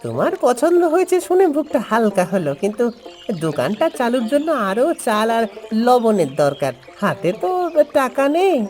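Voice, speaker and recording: A woman's voice: fairly high pitch, very animated, moderate pace, a little mellow, faint music behind. A woman voicing a humble village father in a cartoon story, courteous and earnest. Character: humble village father running a new food stall.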